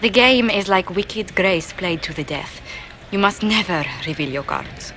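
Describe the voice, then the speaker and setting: An actress playing a grave, poised court diplomat in a fantasy game, speaking in formal, measured, earnest warnings. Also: female voice, medium pitch, expressive, noisy background. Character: poised, grave woman court diplomat advising her leader. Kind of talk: dramatic fantasy video game dialogue.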